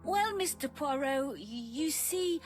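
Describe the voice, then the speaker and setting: A woman playing a timid, modest young working woman in a video game, halting and deferential. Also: high voice, expressive, over loud music. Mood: shy, hesitant.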